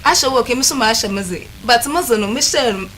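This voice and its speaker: A woman's voice: on the high side, animated, fast talker, a loud crowd. A Ghanaian-accented woman panelist in casual discussion, switching languages and searching for words. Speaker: talk show panelist. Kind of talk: casual discussion, mixing English with a local language. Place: studio. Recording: faint noise in studio recording.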